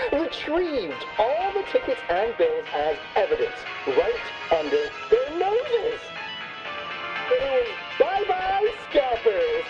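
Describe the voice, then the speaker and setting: A wildly swooping, boastful radio raconteur. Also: male voice, a little high, full character voice. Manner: showy, swooping, hammy storyteller. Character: boastful, jovial male raconteur as radio podcast guest. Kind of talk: video game voice acting, podcast chat. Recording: dull radio sound over music.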